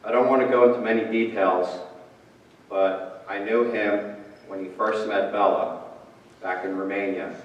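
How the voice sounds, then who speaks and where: A man's voice: fairly low pitch, fairly even intonation, normal speed. A man reading a written tribute aloud at a memorial service. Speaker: family friend reading his father's tribute. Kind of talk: memorial speech read aloud. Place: large reverberant hall at a memorial service. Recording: muffled, echoey hall, distant mic.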